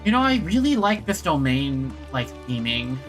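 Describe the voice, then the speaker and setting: A man's voice: mid-range voice, big pitch swings, normal speed, fairly bright tone. A man giving casual, rambling gameplay commentary on a livestream, talking to himself and his viewers. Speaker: video game livestreamer. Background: video game music.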